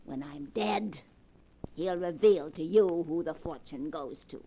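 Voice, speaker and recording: A woman's voice: fairly low pitch, unhurried, dark tone. An actress in a 1940s radio play voicing a wealthy older grandmother who mocks her grandchildren. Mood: scornful. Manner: mocking, imperious, cackling. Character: old rich grandmother, scornful and teasing. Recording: old radio broadcast with faint hiss.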